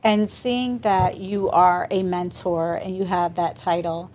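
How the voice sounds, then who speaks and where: A woman's voice: fairly low pitch, fairly even intonation, takes it slowly, a little mellow. A woman hosting a podcast interview, asking long winding questions and affirming her guest. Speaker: podcast host. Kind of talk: conversational interview.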